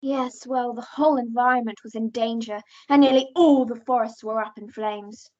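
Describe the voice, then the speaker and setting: A woman's voice: on the high side, steady tone, moderate pace, a little mellow, natural voice. A young English woman acting in an amateur radio play as a bossy, opinionated aunt recounting past events dramatically. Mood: opinionated storytelling. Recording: dull lo-fi home recording.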